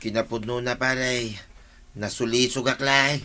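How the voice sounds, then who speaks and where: A man's voice: medium pitch, fairly even intonation, measured pace, sharp tone. A man playing a defensive, philandering village husband in a radio comedy drama, speaking theatrically with an Ilocano accent. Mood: contrite.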